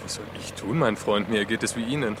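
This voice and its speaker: An actor playing a curt, resigned army sergeant with a blunt manner in a radio drama. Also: male voice, lowish voice, a little fast, a bit bright, slight background noise, lightly acted. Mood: resigned, wry.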